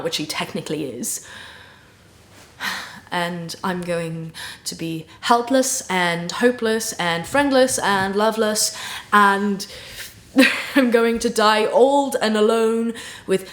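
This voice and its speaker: A woman playing a guilt-ridden, flustered young woman in a naturalistic dramatic monologue, halting and rambling as she confesses. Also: medium pitch, reverberant, close to an everyday voice. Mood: self-pitying, despairing. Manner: halting, rambling, blurting, with nervous laughter. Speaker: acting student.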